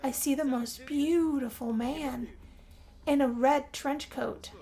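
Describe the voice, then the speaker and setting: A woman telling a first-person role-play story as game narration, theatrical, with drawn-out phrases and pauses. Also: high voice, steady tone, mellow. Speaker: gameplay narrator.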